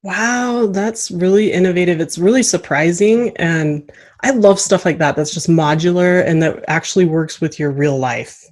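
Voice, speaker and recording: A woman's voice: fairly low pitch, normal speed, darkish tone. A woman hosting a podcast interview in a casual, enthusiastic and encouraging way.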